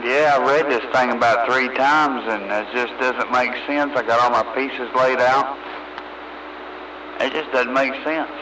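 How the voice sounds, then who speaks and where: A man's voice: medium pitch, fairly even intonation, measured pace. A man chatting casually and in a folksy way over CB radio. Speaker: CB radio operator. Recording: noisy CB radio transmission.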